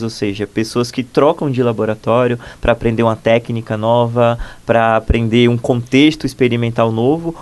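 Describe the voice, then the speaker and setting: A man's voice: mid-range voice, darkish tone. A man in his thirties from Bahia, Brazil, a postdoctoral researcher answering interview questions in a fluent, explanatory and enthusiastic way.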